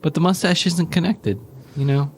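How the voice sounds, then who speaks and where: A man's voice: fairly high pitch, steady tone, moderate pace, slight background noise. A man co-hosting a casual beer podcast, joking around and swearing casually with his friends. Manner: joking, does comic voices and swears casually. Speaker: podcast co-host. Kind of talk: casual chat over beers.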